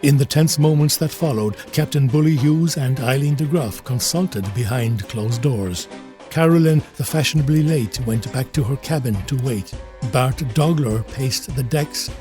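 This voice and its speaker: A man narrating a scripted comic adventure as a storybook narrator, in a measured, wry way. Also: medium pitch, music in the background, close to an everyday voice.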